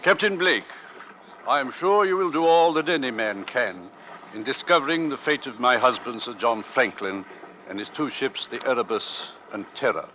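Forceful old-radio sea captain, acted by a man. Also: mid-range voice, normal speed, natural voice. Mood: solemn. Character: hearty, assured 1850s sea captain of an Arctic rescue ship. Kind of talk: 1940s-50s radio drama acting. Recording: muffled old radio recording, faint noise.

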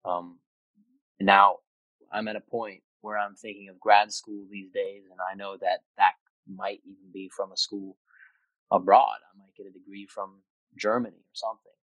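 A man's voice: fairly low pitch, little pitch movement, moderate pace, fairly bright tone, no room sound, poor audio. A man in his twenties, raised in Austria, speaking as a podcast guest in a thoughtful, reflective way. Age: 20s. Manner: reflective, thoughtful with frequent restarts. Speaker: interview guest. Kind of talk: casual conversation.

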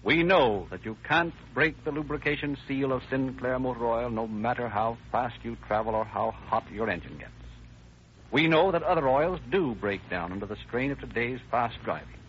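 A man's voice: mid-range voice, normal speed, a bit bright, slight background noise. An American man narrating and announcing in formal, polished, declamatory 1930s radio style. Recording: dull old radio recording. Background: music.

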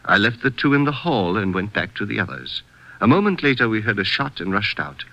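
A man's voice: lowish voice, very animated, fairly quick, pushed and bright, dry recording, heavily acted, rough recording. A man playing a courteous retired Egyptian statesman in an old-time radio drama, with formal, gracious phrasing. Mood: courteous, grave.

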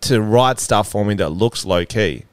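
Joking, clear-voiced Australian bloke. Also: male voice, fairly low pitch, measured pace, dry recording. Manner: joking, swearing, laughs often. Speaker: podcast co-host. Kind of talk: casual chat.

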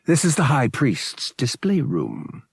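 A proud alien priest acting as guide in an audiobook, voiced by a man. He announces things formally, in a raised pitch. The delivery is lively and varied. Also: slow pace, gravelly, husky, dry recording, clean studio sound, exaggerated voice. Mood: formal pride. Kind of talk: audiobook character voice.

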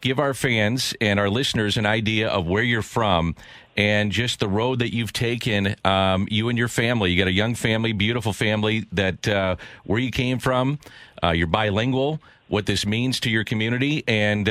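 A man's voice: lowish voice, fairly even intonation, normal speed, a bit bright, dry recording. A male sports radio host asking long, rambling questions full of praise.

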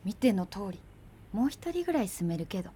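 A woman's voice: mid-range voice, big pitch swings, fairly quick, warm tone, slight background noise, lightly acted. An actress playing a practical, exasperated girlfriend with a Kansai accent in naturalistic radio drama dialogue, blunt and challenging. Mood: gentle, probing.

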